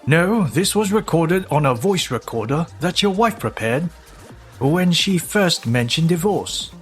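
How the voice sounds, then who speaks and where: A man's voice: a little high, expressive, measured pace, faint music behind. Man acting composed, formal lawyer in manga drama. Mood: firm, factual. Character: composed, professional divorce lawyer confronting a client's opponent.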